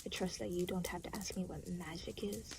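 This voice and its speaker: Casting: woman as aloof demon for audio roleplay, creaky voice, dry sarcasm, exasperated and weary, faint background noise. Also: on the low side, steady tone, brisk, darkish tone. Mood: weary, sardonic. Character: aloof, exasperated demon, reluctantly babysitting a new soul. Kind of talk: audio roleplay addressed to the listener.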